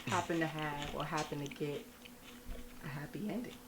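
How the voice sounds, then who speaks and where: A woman hosting a casual radio talk show, chatty and upbeat, quick to laugh with her guests. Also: sits low, steady tone, background noise.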